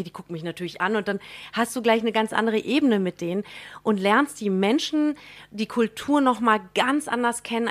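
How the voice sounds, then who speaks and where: A young woman from Germany, a guest in a radio studio, talking in a bubbly, enthusiastic way with frequent laughs and slang. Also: medium pitch. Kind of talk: casual interview chat. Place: radio studio in a theme park.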